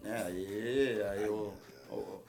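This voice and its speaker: A man with a Brazilian accent, a retired footballer, telling animated stories in a casual chat and repeating his phrases. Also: on the low side, very slow, faint music behind. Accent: Brazilian Portuguese.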